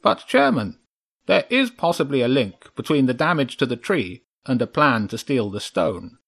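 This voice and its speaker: A man's voice: mid-range voice, sing-song, normal speed, gravelly, fairly bright tone, slightly rough, voice pushed up, heavily acted. A man voicing a small, earnest crow investigator in a children's audiobook, careful and deferential in manner. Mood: earnest, cautious.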